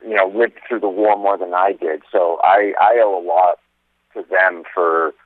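A man's voice: deep voice, fairly even intonation, moderate pace. A man from Lebanon, an actor talking as a guest by phone, upbeat and chatty with lots of fillers. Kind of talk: phone interview. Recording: phone-line audio.

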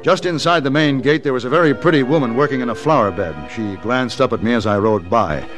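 A man's voice: medium pitch, fast talker, over music, close to an everyday voice. An actor playing a cool, principled hired gunman in a 1960s western radio drama, speaking in a dry, laconic way. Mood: reflective narrating.

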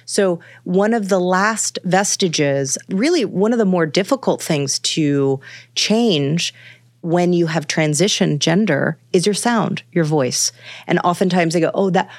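A woman's voice: fairly low pitch, no echo, studio quality. A woman author talking about her book as a podcast guest, thinking aloud in a meandering way with many fillers and restarts. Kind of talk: casual conversational interview.

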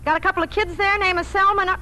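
A woman's voice: very high-pitched, fairly even intonation, rapid-fire, bright, forceful tone. An actress playing a practical, anxious farm mother in 1940s radio drama style. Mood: brisk, practical. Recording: 1940s radio with faint noise.

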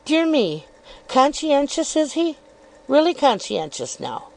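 A sly, insinuating young woman, put on by a female audiobook narrator, lifts her voice high and arch. She needles with innocent-sounding questions. There's a gravelly edge under it. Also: big pitch swings, slow pace, darkish tone, heavily acted. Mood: feigned delight. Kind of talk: audiobook reading of a novel.

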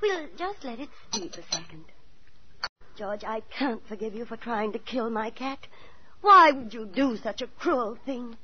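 A woman's voice: a little high, sing-song, unhurried, a little hiss or hum. An actress playing a prim, fussy older landlady in a 1940s radio thriller, fluttery, proper and theatrical, with a chilling sweetness. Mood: sweetly sinister. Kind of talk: 1940s radio thriller acting, first-person narration. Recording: muffled 1940s radio broadcast, music behind.

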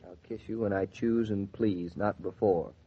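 A man's voice: fairly low pitch, fairly even intonation, normal speed, husky. A man acting in a 1940s radio drama as a good-natured young newlywed husband, dry, understated and teasing. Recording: dull, faintly noisy 1940s radio recording.

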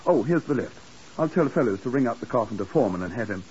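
An actor playing an anxious, dithering English hotel keeper in a 1950s radio drama, stammering and fussy. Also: male voice, mid-range voice, lively intonation, quick pace, darkish tone, breathy edge. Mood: fussy, chatty. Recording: muffled, hissy 1950s radio recording.

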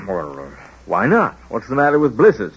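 A man playing a kindly, gently moral small-town family doctor in old-time radio drama, speaking in a folksy, hesitant, musing way. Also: medium pitch, huge pitch swings, a little slow, husky, heavily acted. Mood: concerned, resigned. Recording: dull old radio broadcast recording.

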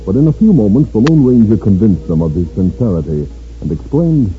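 A man's voice: lowish voice, sing-song, brisk, soft background music. A man narrating a 1950s radio western in a dramatic, scripted style. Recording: dull 1950s radio recording.

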